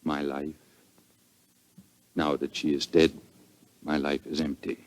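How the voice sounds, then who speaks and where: An actor playing a proud, passionate Viennese count, formal and melodramatic in 1940s radio style. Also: male voice, a bass voice, flat delivery, slow pace. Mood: grief-stricken.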